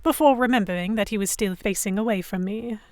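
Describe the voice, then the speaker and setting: A woman plays a young Victorian noblewoman telling her own story in an audio drama. She is genteel and expressive, anxious but defiant, at a medium pitch in a very dry recording. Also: brisk, no echo, lightly acted. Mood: embarrassed, prim. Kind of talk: first-person dramatic narration, historical romance audio drama.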